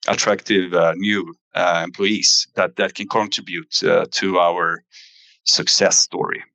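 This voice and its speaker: A man giving a company investor presentation in a halting way, pausing often with fillers. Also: deep voice, level tone, creaky, sharp tone, no room sound, rough recording. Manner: halting, hesitant delivery with frequent fillers. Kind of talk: investor earnings presentation, semi-scripted.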